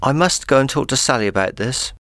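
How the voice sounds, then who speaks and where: A man's voice: medium pitch, expressive, brisk, fairly bright tone, no room sound, clean studio sound. A man voicing a stern, concerned youth leader in an audiobook story, questioning and emphatic. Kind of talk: dramatised audiobook dialogue for learners.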